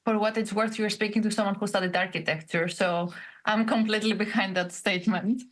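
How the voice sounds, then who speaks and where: Female co-host from Greece, mid pitch, easy chatty questions. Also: fairly even intonation, brisk. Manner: conversational, follow-up questions. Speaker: podcast co-host. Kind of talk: casual interview talk.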